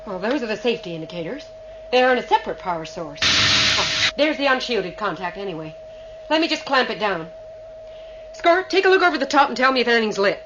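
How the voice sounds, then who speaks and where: An actress playing a tough, hot-tempered woman in command on a starship, brisk and sardonic, in a science-fiction radio drama. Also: a little high, very animated, background noise. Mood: angry, urgent.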